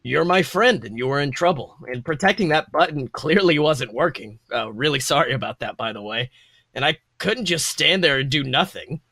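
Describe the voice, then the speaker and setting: A man voicing an earnest, gentle, sheepish man with a hesitant, plain-spoken manner, in a fan fiction reading. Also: fairly high pitch, animated, moderate pace, dry recording, heavily acted. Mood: apologetic, hesitant. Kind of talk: fan fiction read aloud with character voices.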